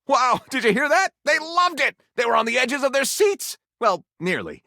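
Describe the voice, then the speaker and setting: A man's voice: extremely high pitch, sing-song, normal speed, pushed and bright, no room sound, clean studio sound, heavily acted. A man voicing a theatrical, bookish living-library character in a video game, effusive and florid in diction. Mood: delighted. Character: flamboyant, theatrical bookish living library, eager and easily wounded.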